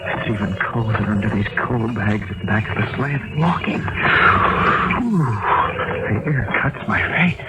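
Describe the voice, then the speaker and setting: An actor playing a weary, meek young vagrant, faltering and plaintive, in a 1970s American radio drama. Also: male voice, fairly low pitch, measured pace, lightly acted. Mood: complaining. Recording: very noisy old radio broadcast.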